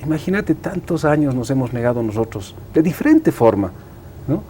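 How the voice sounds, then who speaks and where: Mellow man explaining emphatically. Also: mid-range voice, very animated, normal speed, slight background noise. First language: Aymara. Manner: emphatic, didactic, repeats and seeks agreement. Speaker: interview guest. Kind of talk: explanatory interview talk.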